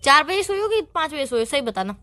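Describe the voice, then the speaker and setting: Woman playing a high-pitched, suspicious, nagging young girlfriend. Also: very animated, quick pace, bright, forceful tone, no echo, full character voice. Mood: interrogating. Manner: insistent, interrogating, repeating questions and demands. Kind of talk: staged phone-call couple argument.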